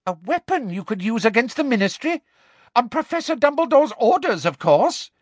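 A woman's voice: on the high side, very animated, moderate pace, dry recording, voice pushed up. A woman voicing a harsh, power-hungry female official who speaks sharply with a false sweetness. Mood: harsh demanding.

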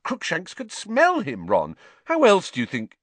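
Audiobook role: logical, insistent teenage schoolgirl, high and lively, performed by a man. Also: unhurried, no room sound, heavily acted. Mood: impatient, defensive. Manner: insistent, matter-of-fact arguing.

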